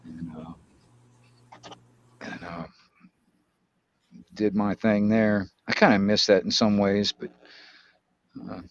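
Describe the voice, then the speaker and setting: A man's voice: fairly low pitch, steady tone, slow pace, long pauses, no echo. Middle-aged American man, dull lo-fi sound. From Florida, United States. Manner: rambling, hesitant storytelling with slangy, mildly profane speech. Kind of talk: casual chat.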